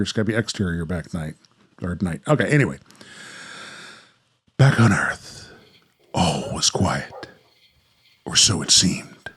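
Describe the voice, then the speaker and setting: A man hosting a show, narrating a radio play and talking casually. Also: medium pitch. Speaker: host and narrator.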